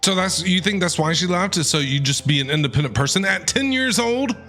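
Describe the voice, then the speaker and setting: A man's voice: high-pitched, fairly quick. A man giving casual, exclamatory live reactions as a game streamer. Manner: animated, exclamatory. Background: game music.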